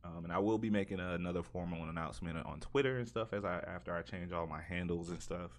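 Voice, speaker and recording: A man's voice: low voice, fast talker. A man hosting a casual podcast, talking informally and with plenty of slang. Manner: informal, slangy, punctuates sentences with "man" and "bro". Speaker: podcast host and streamer.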